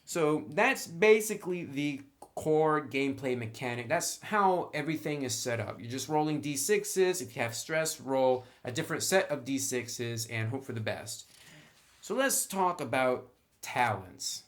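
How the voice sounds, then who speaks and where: Guy doing a chatty game book review, explains stuff and says what he thinks, mid pitched voice. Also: animated, a little slow. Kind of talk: casual explanatory review commentary.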